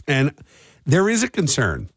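A man's voice: fairly high pitch, huge pitch swings, a little slow, slightly rough, dry recording. A middle-aged American man hosting a morning radio show, chatting casually and often asking questions on a close studio microphone. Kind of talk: casual talk radio chat and call-in. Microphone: close studio broadcast microphone.

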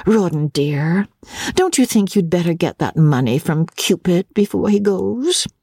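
Narrator playing a sly young wife, pitched high. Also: lively intonation, measured pace, warm tone, slightly rough, dry recording, studio quality. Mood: coaxing.

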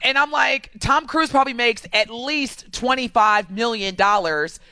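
A woman's voice: fairly high pitch, pushed and bright, smooth, no room sound. A woman co-hosting a radio talk show, bantering playfully and joking around in casual chat. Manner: playful, quick to joke and do impressions. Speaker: radio co-host.

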